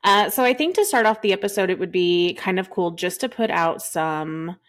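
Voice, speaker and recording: A woman's voice: fairly low pitch, normal speed. A woman from Texas, co-hosting a podcast in a rambling, casual way with lots of fillers. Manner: rambling, laughs often, many fillers. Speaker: podcast co-host.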